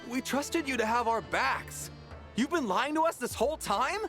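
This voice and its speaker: A man's voice: very high voice, expressive, moderate pace, sharp tone, soft background music, full character voice. An actor playing an earnest, trusting townsman in a video game. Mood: betrayed.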